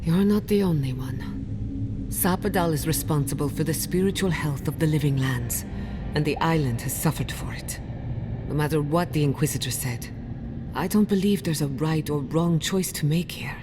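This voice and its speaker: An actress playing a bold, curious scholarly explorer in a video game, speaking in an earnest, thoughtful manner. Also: female voice, sits low, moderate pace, music in the background, close to an everyday voice. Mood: earnest, serious. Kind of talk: video game dialogue acting.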